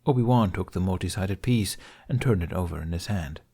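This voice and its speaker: A man's voice: deep voice, fairly quick, warm tone, slightly rough. A man reading scripted fiction aloud as an audiobook narrator.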